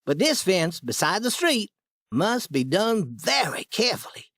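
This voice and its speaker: A man's voice: big pitch swings, a little slow, vocal fry, a bit bright, no room sound, clean studio sound, full character voice. A man doing a sly, coaxing boy. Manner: high boyish voice, coaxing. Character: clever scheming boy Tom, sly.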